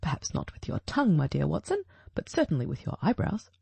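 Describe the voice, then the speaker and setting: Woman as shrewd male detective, audiobook dialogue, mellow, husky, didactic, formal, self-assured. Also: low-pitched, huge pitch swings, a little fast, no room sound, full character voice.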